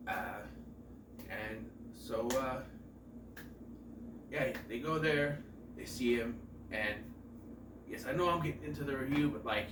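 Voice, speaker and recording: A man's voice: medium pitch, slow pace, pauses often. A man in his thirties, stuffed up and nasal from a cold, giving a chatty, joking movie review full of asides and self-corrections. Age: 30s. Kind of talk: casual rambling film review. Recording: echoey room, distant mic, noisy background.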